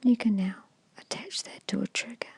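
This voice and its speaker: A woman guides a meditation in an extremely breathy, hushed whisper with a creaky grain to it. She speaks slowly and soothingly, like an ASMR hypnosis storyteller. The sound is close to the microphone and very dry. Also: fairly high pitch, expressive, no echo.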